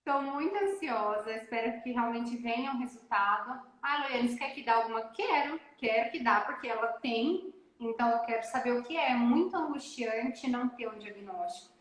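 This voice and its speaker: A woman talking about her daughter, telling her story in an emotional, animated way on a casual live chat. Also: on the high side, moderate pace, few pauses. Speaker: guest mother. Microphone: phone or laptop on a live video call. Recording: echoey room.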